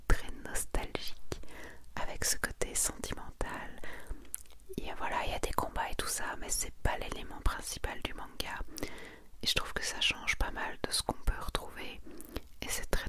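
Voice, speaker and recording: A woman hosting an ASMR video, speaking softly in a hushed whisper as she presents and comments. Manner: soft, hushed, unhurried delivery. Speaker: ASMR video host presenting a manga collection. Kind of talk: whispered ASMR, reading summaries and casual comments.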